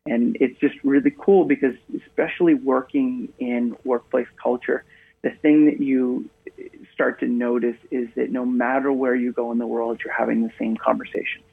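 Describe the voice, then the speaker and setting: A man's voice: medium pitch, fairly even intonation, normal speed, creaky, slightly rough. A man from Maine, a guest speaker, chatting in an upbeat storytelling way over a remote line in a radio interview. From Maine, USA. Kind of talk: casual interview conversation. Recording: tinny phone line.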